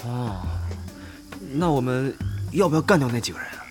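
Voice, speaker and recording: An actor playing a naive, easily impressed gamer underling in an audio drama, artless and eager. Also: male voice, medium pitch, animated, moderate pace, faint music behind, lightly acted.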